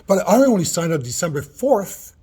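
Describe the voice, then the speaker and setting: A man in a heated in-person complaint at a city office, loud and agitated, talking over others and swearing, caught on a hand-held recording. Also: high voice, big pitch swings, normal speed, slightly rough. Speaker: tenant. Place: city hall office, indoors.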